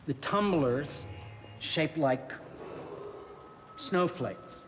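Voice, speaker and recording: Halting, nervous inventor. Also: male voice, a little high, expressive, in a large hall, faint music behind. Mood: sheepish, apologetic. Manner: halting, hesitant. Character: awkward, sheepish, nervous young inventor man. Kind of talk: video game voice acting.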